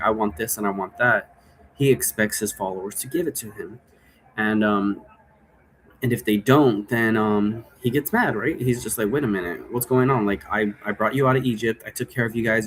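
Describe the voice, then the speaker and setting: A man with a fairly low voice talks casually, as if working out his explanation as he goes. He drifts from one point to the next in an informal, rambling way. Some noise sits behind his voice. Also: fairly bright tone, rough recording. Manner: informal, digressive, thinking aloud. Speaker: game creator explaining role-play rules. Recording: noticeable background noise.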